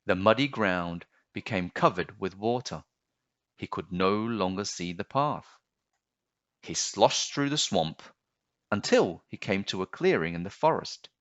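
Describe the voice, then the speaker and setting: Male storybook narrator: low voice, slow and expressive, animated pitch, slightly dull recording. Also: no echo. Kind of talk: children's read-aloud narration.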